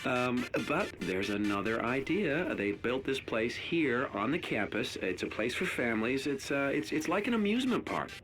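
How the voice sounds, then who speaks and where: A man's voice: mid-range voice, big pitch swings, normal speed, few pauses, fairly bright tone, faint music behind. An actor playing a coaxing husband and father in a video game, hesitant and rambling. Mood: sheepish, coaxing. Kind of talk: naturalistic video game voice acting.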